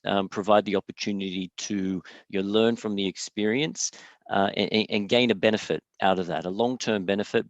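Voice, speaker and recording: A young Australian man speaks as a guest lecturer on a video call, his voice deep and low. He hesitates constantly, repeating words and padding with fillers. The sound is dry and slightly dull, like call audio. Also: dry recording. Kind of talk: online lecture.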